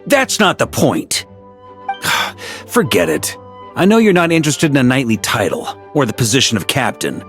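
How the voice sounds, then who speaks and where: Middle-aged knight commander, exasperated, dry sarcasm, lively pitch, faint game music. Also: male voice, unhurried. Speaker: grandmaster of a knightly order. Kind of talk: video game voice acting. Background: soft game music.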